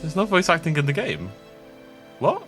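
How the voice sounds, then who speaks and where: A man's voice: fairly high pitch, normal speed, faint music behind. A man, sniffly from a cold, chatting casually as he plays a game. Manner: chatty, rambling, animated. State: sick, itchy throat, sniffly. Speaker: let's play gameplay commentator. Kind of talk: casual gameplay commentary.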